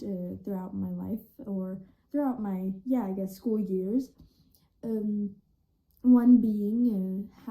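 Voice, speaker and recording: A woman's voice: medium pitch, dark tone, rough recording. A seventeen-year-old female student from Denmark giving a reflective personal account in casual, youthful phrasing. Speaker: high school student.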